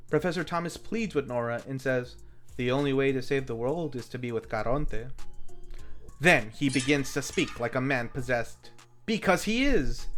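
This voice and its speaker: A film review host delivers a wry, scripted recap with comedic timing. The mid-pitched voice swings widely in pitch, with music playing underneath. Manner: wry, animated storytelling with acted quotes.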